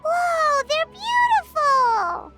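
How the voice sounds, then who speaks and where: Childlike fairy companion, squeaky, very lively intonation, excitable, game dialogue over faint music. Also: female voice, extremely slow, heavily acted. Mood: excited wonder. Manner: refers to self in third person, exclaiming. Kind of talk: video game voice acting.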